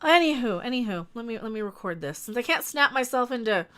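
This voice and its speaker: Woman streamer, sniffly, high and crisp voice, blunt rambling live-stream chat. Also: expressive, fast, a bit bright. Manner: rambling and blunt, with frequent restarts and asides to chat. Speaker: online commentator hosting a live stream.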